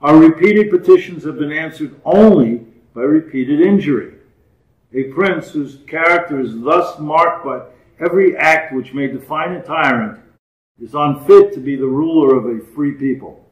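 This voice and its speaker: A man's voice: on the high side, moderate pace. Echoey, muffled man reading formally. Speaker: volunteer reader. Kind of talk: formal read-aloud of a historical document. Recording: echoey room, dull muffled sound.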